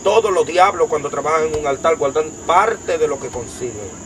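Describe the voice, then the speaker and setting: A man's voice: a little high, lively intonation, noisy background. Young Dominican man giving emphatic testimony. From Dominican Republic. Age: young adult. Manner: emphatic, rapid-fire storytelling in long lists. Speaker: interview guest. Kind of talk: personal testimony in conversation.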